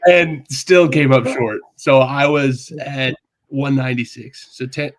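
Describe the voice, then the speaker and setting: A man's voice: medium pitch, unhurried, poor audio. A man co-hosting a casual podcast chat, easygoing and self-deprecating as he tells stories. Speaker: podcast co-host.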